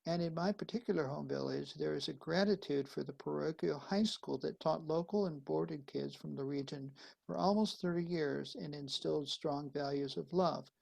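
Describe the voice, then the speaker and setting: Man, priest, fairly high voice, gravelly, video call. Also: normal speed, a little mellow, poor audio. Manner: reads a letter aloud, then hosts and thanks guests. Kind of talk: live-streamed sermon conversation.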